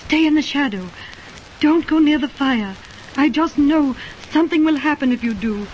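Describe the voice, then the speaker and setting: Young sweetheart, high voice, breathless, pleading, dull lo-fi old recording. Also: female voice, lively intonation, normal speed, darkish tone, a little hiss or hum. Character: anxious young woman in love, pleading. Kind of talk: 1930s radio drama acting.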